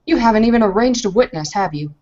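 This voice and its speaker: A woman's voice: mid-range voice, animated, fast, dark tone, highs cut off, close to an everyday voice. A woman playing a haughty, angry young noblewoman in a tabletop game, speaking in a clipped, formal manner. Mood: indignant.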